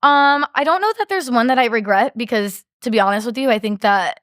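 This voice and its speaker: A young woman hairstylist chatting casually in an interview, with a slightly deep voice and a lot of "like" in her talk. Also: a little fast, fairly bright tone, dry recording, studio quality. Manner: chatty, heavy use of "like", laughs often. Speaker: hairstylist guest.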